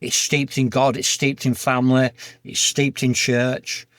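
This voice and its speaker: A man with a broad Yorkshire accent talks in an earnest, heartfelt, plain-spoken way as a podcast guest. His voice sits in a middling pitch range. The sound is dry and close, with no room echo. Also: little pitch movement, a little slow. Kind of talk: candid personal interview.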